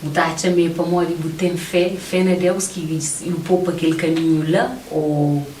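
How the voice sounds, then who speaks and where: A woman interviewer talks casually with a guest in a low-pitched voice. The recording is roomy and picked up at a distance, with slight noise behind her. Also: level tone, normal speed, mellow. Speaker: interviewer, host. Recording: roomy, distant mic, faint background noise.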